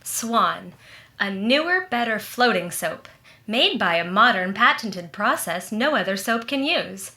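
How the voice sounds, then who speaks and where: A woman's voice: mid-range voice, animated, a little slow, sharp tone, echoey room. A woman playing a cheery, amused neighbor and soap seller in 1940s radio style. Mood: cheerful selling. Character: perky, amused neighbor friend and soap pitchwoman. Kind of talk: 1940s radio ad and comedy acting.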